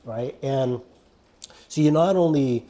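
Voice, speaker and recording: A man's voice: mid-range voice, slow pace, warm tone. A man hosting a casual faith interview, chatty and affirming, with plenty of fillers. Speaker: podcast host.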